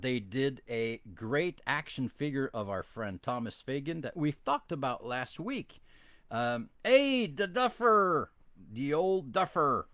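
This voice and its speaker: A man's voice: on the high side, no echo. Podcast host, male, Quebec French accent, French native speaker; rambling, self-deprecating live chat, close dry sound. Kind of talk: casual bilingual live-stream chat.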